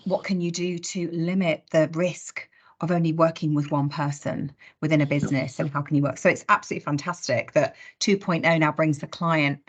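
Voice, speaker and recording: A woman's voice: low voice, fairly even intonation, fairly quick. A woman hosting a casual business conversation in a chatty way with wry humour. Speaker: host of a recruitment industry discussion.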